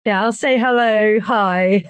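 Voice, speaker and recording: A woman interpreter relaying translation in a brisk, matter-of-fact way. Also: mid-range voice, smooth, no room sound.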